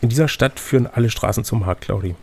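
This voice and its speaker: Male game master, gravelly and slightly rough, casual storytelling with teasing asides. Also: mid-range voice, fast, a little mellow. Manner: teasing, playful. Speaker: game master and reader of an adventure gamebook. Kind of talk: reading a gamebook aloud with casual banter.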